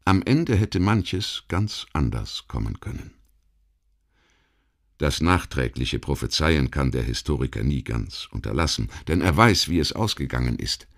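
A man with a very low-pitched voice narrates a documentary audiobook. He reads from a script in a measured, formal manner. The delivery is that of a thoughtful essay read aloud. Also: animated, creaky, a bit bright.

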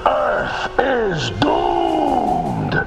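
An actor playing a grandiose villain from a sci-fi B-movie game scene, gloating theatrically in drawn-out phrases. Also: male voice, high voice, wildly swooping pitch, creaky, exaggerated voice. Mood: gloating, menacing. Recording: echoey, with loud music behind.

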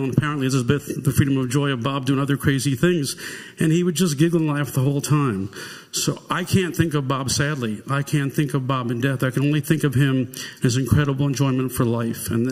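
Older man, earnest memorial tribute, emphatic phrasing, lectern mic, long church reverb. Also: mid-range voice. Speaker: eulogy speaker. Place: church sanctuary, indoors. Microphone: gooseneck lectern microphone. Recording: reverberant hall.

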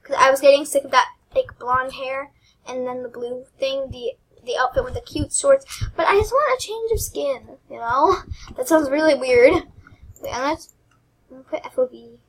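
Squeaky high-voiced young woman gamer. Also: normal speed. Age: teens. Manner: rambling, giggly, self-deprecating. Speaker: gaming channel host. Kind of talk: casual gameplay commentary.

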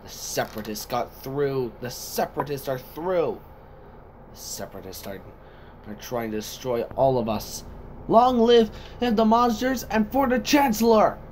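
A man voicing an excitable soldier commander in amateur sci-fi video-game voice lines, giving urgent, halting battle callouts. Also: mid-range voice, slight background noise. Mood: brisk, urgent, then frantic shouting.

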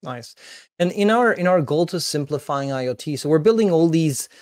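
A man's voice: on the high side, expressive, normal speed, darkish tone, dry recording, clean studio sound. A man in his forties hosting a video call interview, asking brief, encouraging questions from a home office. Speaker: host. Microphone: video call webcam.

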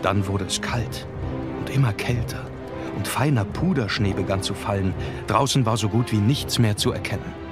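A man's voice: on the low side, normal speed, lightly acted. An actor playing an earnest young law clerk, narrating his diary formally in an audio drama. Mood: tense. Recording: loud music under the voice.

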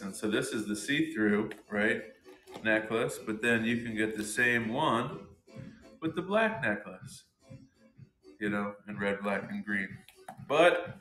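A man in his thirties hosts a casual product showcase, full of excited, slangy enthusiasm. His voice sits fairly low. The sound of the small indoor room echoes around him.

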